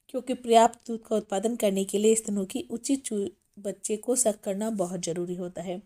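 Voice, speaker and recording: A woman hosting a pregnancy health channel, explaining step by step and calling her viewers friends, her voice a little hoarse from a cough. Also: a little high, mellow. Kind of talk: informational talk.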